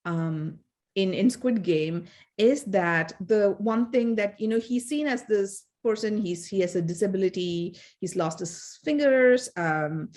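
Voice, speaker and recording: A woman from India, co-hosting a casual academic discussion, thoughtful and hesitant with many fillers. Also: fairly low pitch. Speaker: podcast co-host, fan scholar.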